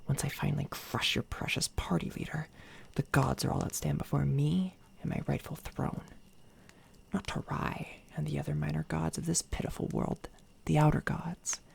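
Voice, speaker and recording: A woman voicing a sinister, megalomaniac evil doppelganger in a soft-spoken ASMR roleplay, breathy and often whispering, with deliberate, grandiose, condescending delivery. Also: low-pitched, steady tone, exaggerated voice. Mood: grandiose resolve. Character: sinister female evil doppelganger, coldly megalomaniac would-be ruler. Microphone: close binaural microphone.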